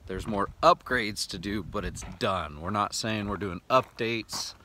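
A man's voice: lowish voice, a little slow, background noise. An American man in his thirties talking casually to viewers in a laid-back, plain-spoken way, like a DIY vlogger. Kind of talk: casual vlog narration to viewers.

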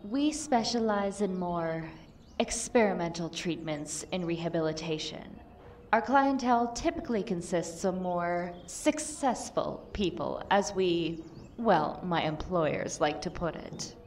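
Woman playing a composed, low-voiced military lieutenant. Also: lively intonation, darkish tone, recorded from a distance, slight background noise. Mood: formal, persuasive. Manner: formal, measured, matter-of-fact. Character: blunt, composed female lieutenant recruiting for a program. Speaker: actor in an audio drama. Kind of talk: audio drama acting. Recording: off-mic voice under music.

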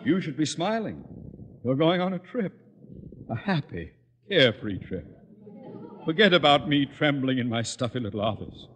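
A man's voice: mid-range voice, big pitch swings, normal speed, long pauses, long reverb tail, muffled recording, lightly acted. Man acting pompous, scheming principal, swooping delivery. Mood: manipulative, theatrical. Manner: blustering, theatrical, wheedling. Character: pompous, scheming middle-aged male high school principal. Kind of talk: 1950s radio comedy acting. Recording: noisy old-time radio recording.

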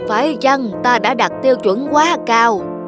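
A woman's voice: a little high, a little slow, over music, close to an everyday voice. A woman voicing a proud, conceited thoroughbred horse with a haughty, brooding manner in a cartoon fable. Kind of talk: dubbed cartoon fable acting.

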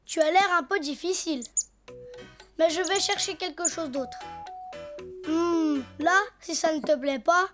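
A woman voicing a cheerful, curious little boy donkey in a cartoon, eager and lively. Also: extremely high pitch, a little slow, long pauses, over music.

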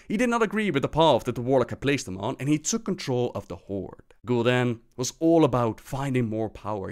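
Male host for scripted fantasy lore narration. Middle-range voice, dramatic storyteller delivery. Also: mid-range voice.

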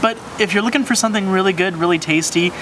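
A man's voice: high-pitched, quick pace, noisy background. A young man hosting a casual beer review on an outdoor brewery patio, describing flavors with enthusiasm and stumbling over repeated words. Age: young adult.

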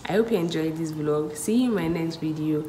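A woman's voice: deep voice, brisk, dark tone, a little hiss or hum. A young woman talking casually and directly to her viewers. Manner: friendly, chatty, addresses viewers directly. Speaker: vlogger. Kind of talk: casual vlog talk to camera.

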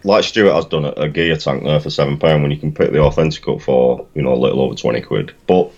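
A man in his thirties with a very low voice talks emphatically, repeating his words and dropping British slang. The sound is dull and lo-fi, like a webcam call. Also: fast, darkish tone. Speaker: co-host of a vaping live show. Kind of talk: casual live-stream chat. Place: home room, indoors.

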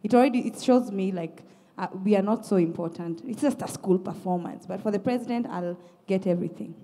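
A woman's voice: mid-range voice, brisk, dark tone. Effusive woman judge in an echoey hall. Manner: effusive, emphatic praise. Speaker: judge at a school performance. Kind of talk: spoken feedback to performers on stage. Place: large indoor theatre auditorium. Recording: echoey auditorium with long reverb.

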